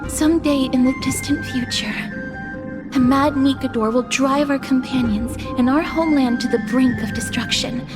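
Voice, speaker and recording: An actress playing a gentle, composed, frail mystical woman in a video game, speaking softly and formally. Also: high-pitched, moderate pace, over music, natural voice. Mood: calm, earnest. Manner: soft, solemn, formal, partly breathy.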